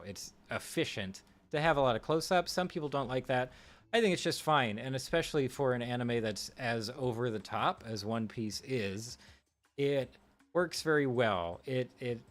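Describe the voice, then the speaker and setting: A man streaming live, talking through film technique in a casual, musing way with fillers and restarts. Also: medium pitch.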